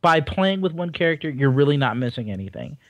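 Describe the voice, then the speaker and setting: A young man with a fairly high voice talks through a casual gaming podcast, giving blunt, rambling opinions. His mic is close and dry, with no room sound. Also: big pitch swings, fairly quick, no echo, dull audio. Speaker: podcast co-host. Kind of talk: casual chat about video games.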